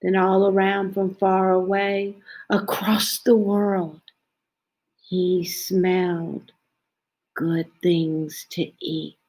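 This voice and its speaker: A woman reading a children's picture book aloud in a dramatic, expressive way, stretching out phrases and dropping into hushed tones. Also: mid-range voice, extremely slow. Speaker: storybook reader.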